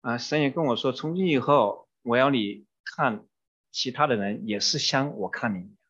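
Male consecutive interpreter for a sermon, husky and mid-pitched, following each phrase, on a muffled dull recording. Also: moderate pace, a bit bright. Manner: renders each phrase promptly, with some fillers.

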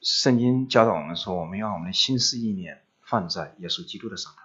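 A man interpreting a sermon live, relaying each phrase quickly and steadily. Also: low-pitched, bright, forceful tone, breathy edge, dull audio.

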